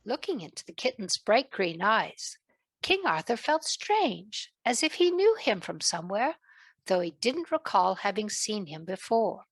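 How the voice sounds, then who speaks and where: A woman narrating a children's story in a gentle, deliberate way, with a British accent that comes and goes. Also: mid-range voice, sing-song, slightly rough, no echo. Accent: British, fading in and out.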